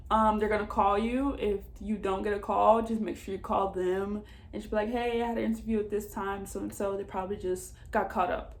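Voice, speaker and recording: A woman's voice: mid-range voice, darkish tone. A young American woman chatting casually as she gives advice, in an informal, reassuring way. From Southern United States. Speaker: vlogger giving job advice. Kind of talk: casual advice chat to camera.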